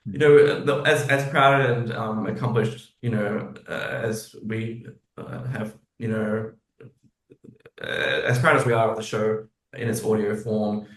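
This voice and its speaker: Echoey, halting man in conversation. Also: on the low side, fairly even intonation. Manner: halting, self-interrupting, rambling. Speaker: guest, musical theatre writer. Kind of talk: casual interview talk. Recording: echoey room.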